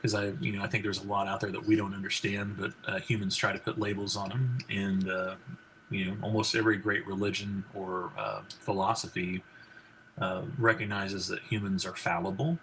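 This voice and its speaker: A man in his forties speaks in a low, gravelly baritone with a bright edge. He talks casually and rambles, restarting his sentences and leaning on fillers. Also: little pitch movement, moderate pace, slight background noise, low-quality recording. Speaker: guest, physician and country singer. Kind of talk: casual interview conversation.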